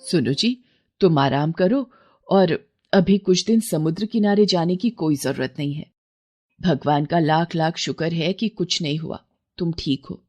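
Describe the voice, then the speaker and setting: A woman's voice: low voice, unhurried, darkish tone. A woman voicing an aging fisherman's wife in a cartoon story, caring and firm as she gives advice. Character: poor aging fisherman's wife, steadfast and wise.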